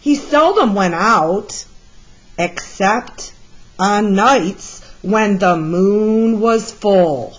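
Slow-paced woman reading a children's story. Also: mid-range voice, animated, muffled recording. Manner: measured, deliberate storytelling delivery. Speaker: read-aloud storyteller, art teacher. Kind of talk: expressive children's picture book read-aloud.

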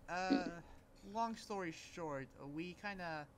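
A man's voice: sits high, slow, very noisy. A man improvising roleplay dialogue in a hesitant, conversational way. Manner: hesitant, filler-heavy, conversational.

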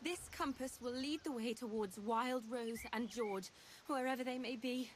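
Woman playing a high-voiced earnest young mystic's apprentice. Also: normal speed, background noise, lightly acted. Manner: earnest, determined. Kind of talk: scripted game dialogue.

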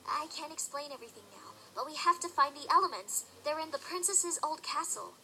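A woman's voice: high-pitched, lively intonation, a little fast, bright, forceful tone, a little hiss or hum, heavily acted, low-quality recording. A woman playing an earnest, anxious young pony heroine in a cartoon audio drama. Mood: urgent, pleading.

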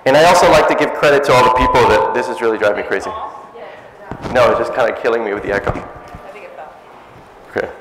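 A middle-aged man with a loud voice giving a casual, self-deprecating science talk to a cafe audience. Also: medium pitch, quick pace, pauses often, background noise. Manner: self-deprecating, lively, jokey. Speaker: science speaker. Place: cafe event space, indoors. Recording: echoey event space.

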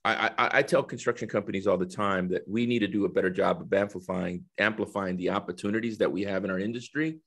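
Guy in his 50s, lowish voice, guest on an interview call, explains stuff kinda haltingly. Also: fairly even intonation, vocal fry, a bit bright, slightly rough. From El Salvador, raised in the United States. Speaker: guest, corporate diversity executive. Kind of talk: conversational interview answers. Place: indoor office, video call. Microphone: headset on a video call.

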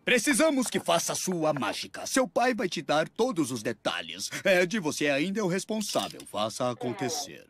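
Um ator dublando um chefe de estúdio mandão e espalhafatoso num desenho animado, com jeito bombástico. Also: male voice, on the high side, wildly swooping pitch, normal speed, few pauses, fairly bright tone, soft background music. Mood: breezy, commanding. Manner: bombastic, commanding. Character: brash, bossy male cartoon studio boss creature. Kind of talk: cartoon dub acting.

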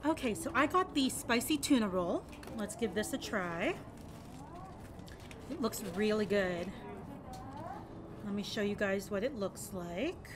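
A woman's voice: medium pitch, lively intonation, a little slow, pauses often. A young woman vlogging a casual food review at a restaurant table, talking through each bite. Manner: chatty, describes each bite. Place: restaurant patio, outdoors. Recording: noisy patio ambience.

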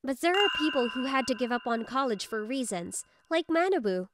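A woman's voice: high-pitched, very animated, fairly quick, noisy background. An actress playing a firm, protective young female office senior in a manga story dub, assertive and plain-spoken. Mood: matter-of-fact.

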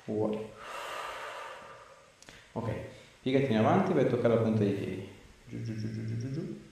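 A man's voice: mid-range voice, moderate pace, creaky, mellow. A man, working as an osteopath and giving short exam cues and questions to a patient. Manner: short, repeated coaching cues. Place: small clinic treatment room. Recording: roomy echo, distant mic, faint noise.

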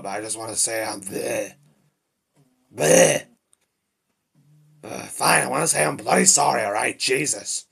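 Man voicing a gravelly, short-tempered demon devil. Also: lowish voice, fairly bright tone, heavily acted. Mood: subdued, grudging, then grudging. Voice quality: raspy, gravelly. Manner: irritable, flustered, blurting and muttering. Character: short-tempered male demon devil with bat-wing ears. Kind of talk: amateur character voice acting, improvised monologue.

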